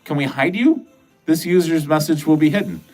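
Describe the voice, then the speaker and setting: A man's voice: on the high side, lively intonation, normal speed, a little mellow, soft background music. Rambling middle-aged man, chatty livestreamer. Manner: rambling, filler-heavy, crude jokey talk. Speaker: livestream host. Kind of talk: casual livestream chat answering viewer comments. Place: at home.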